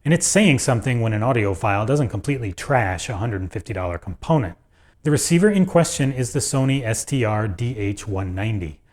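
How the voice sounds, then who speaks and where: A man's voice: on the low side, expressive, a little fast. A man hosting a video, narrating a script about audio gear in a conversational, opinionated way with dry asides.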